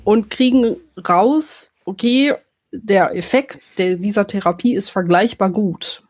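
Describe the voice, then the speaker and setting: Breathy woman with slight lisp. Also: mid-range voice, measured pace, darkish tone. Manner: curious, asks follow-up questions. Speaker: podcast host. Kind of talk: casual podcast conversation.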